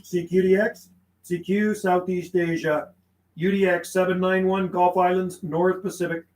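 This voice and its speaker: A man's voice: high voice, level tone, unhurried, darkish tone, reverberant, rough recording. A man chatting casually and slangily on a livestream as a radio operator, mixing in call signs and phonetic codes. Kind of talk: casual livestream chat mixed with radio calling. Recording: clear background noise.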